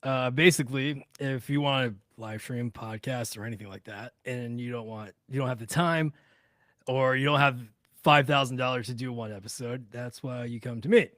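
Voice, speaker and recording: A man's voice: mid-range voice, moderate pace, a bit bright, no room sound, clean studio sound. A man in his thirties chatting casually as a podcast producer and host, joking and self-deprecating.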